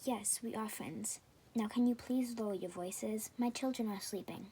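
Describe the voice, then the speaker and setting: A woman voicing a polite, guarded mother in a children's puppet show, hushed and pleading, in a noisy home recording. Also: a little high, moderate pace, lightly acted.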